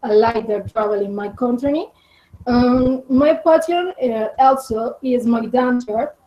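A Colombian woman in her twenties with a Spanish accent, answering interview questions in halting English with many fillers. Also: a little high. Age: 20s. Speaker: podcast guest. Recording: dull lo-fi audio.

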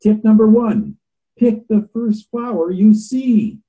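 A man's voice: high voice, slow, phone-quality sound, voice pushed up. A man voicing a playful male promo announcer, reading a scripted demo with a bouncy, sing-song delivery.

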